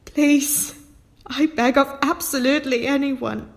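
A woman's voice: high voice, steady tone, measured pace, slight background noise. A woman playing the weary, earnest daughter of a besieged family in a sci-fi audio drama, speaking plainly. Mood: anguished, pleading.

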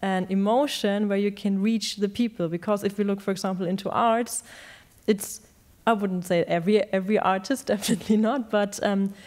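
A woman's voice: medium pitch, darkish tone. A young woman speaking on a panel discussion, into a handheld mic on a stage, thoughtful and searching for words. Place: large stage in a hall.

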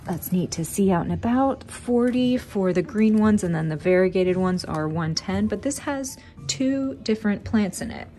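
A woman's voice: mid-range voice, animated, normal speed, hardly any pauses, mellow. A woman in her thirties, a plant vlogger, gushing with enthusiasm as she narrates a walk through an indoor plant shop. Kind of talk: casual shop-along narration. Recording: background music under voice.

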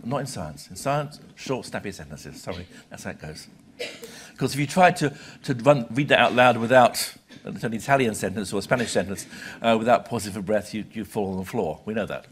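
A man's voice: on the low side, brisk. A British man in his sixties giving a live lecture to an audience in a conversational, emphatic way with short clipped phrases. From Britain. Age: 60s. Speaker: lecturer, journal publisher. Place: lecture hall, speaking from a podium. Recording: live talk with audible background noise.

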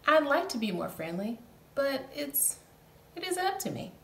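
A woman voicing a glum, gloomy male fish who sulks, in a sing-song rhyming chant with low drawn-out blubs. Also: very animated, vocal fry, a bit bright, heavily acted. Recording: roomy echo, faint background hum.

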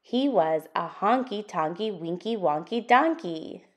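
Expressive woman reading to children, swooping intonation. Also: mid-range voice. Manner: expressive, sing-song, deliberate phrasing for young listeners. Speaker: storytime host reading a picture book aloud. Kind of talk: interactive children's read-aloud narration.

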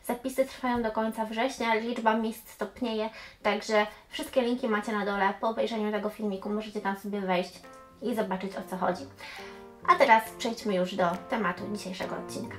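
A woman's voice: medium pitch, fairly even intonation, fairly quick, soft background music. A woman in her thirties, an English teacher, patiently explaining grammar to camera and dropping in English example phrases, recorded in a small room. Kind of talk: explanatory language lesson to camera. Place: small room indoors with bookshelves.

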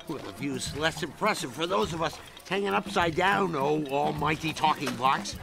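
An actor playing a cocky, sardonic smuggler with a wry, impatient manner in a radio drama. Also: male voice, fairly high pitch, lively intonation, normal speed, gravelly. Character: cocky, sardonic male smuggler turned rebel general. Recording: music behind radio drama dialogue.

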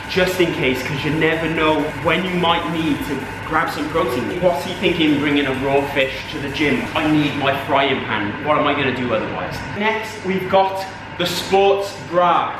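A young man presenting a parody fitness vlog in a deadpan, mock-serious way, filmed in a large gym. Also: on the high side, normal speed, few pauses. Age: young adult. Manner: mock-serious, dry delivery. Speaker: fitness vlogger. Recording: echoey gym with loud noise.

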